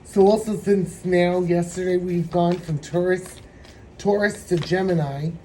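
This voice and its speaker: A man with a hoarse, troubled throat giving a meandering, reflective spiritual tarot reading. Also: high-pitched, fairly even intonation, darkish tone, a little hiss or hum, low-quality recording. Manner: meandering, reflective, slow-spoken. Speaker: tarot reader.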